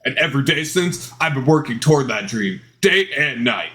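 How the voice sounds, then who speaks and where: A man voicing an earnest, passionate male chef and romantic lead in a dating game, speaking softly and sincerely. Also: mid-range voice, animated, normal speed. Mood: dreamy, solemn. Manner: soft-spoken, sincere, dramatic.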